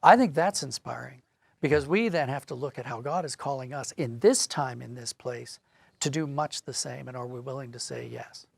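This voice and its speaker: A man's voice: mid-range voice, normal speed, vocal fry, husky, dry recording. A man giving thoughtful, explanatory answers as a conversational interview guest. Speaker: interview guest, audio drama writer.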